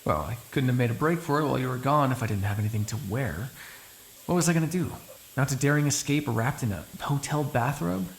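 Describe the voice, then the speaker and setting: A man playing an ageless, cynical male vampire in an audio roleplay, with a gravelly, husky voice and a sardonic, exasperated manner. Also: mid-range voice, quick pace, a little hiss or hum, lightly acted, low-quality recording. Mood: exasperated, dry. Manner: sardonic, drawling, swearing, exasperated asides. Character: ageless male vampire, cynical, menacing, grudgingly fond captive. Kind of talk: ASMR roleplay, one-sided dramatic dialogue.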